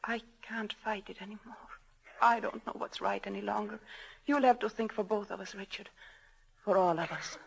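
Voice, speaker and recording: An actress playing an anguished young wife torn between two loves, pleading and hesitant, in a 1940s radio drama. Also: female voice, mid-range voice, steady tone, normal speed, gravelly, poor audio. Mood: weary surrender. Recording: old 1940s radio broadcast.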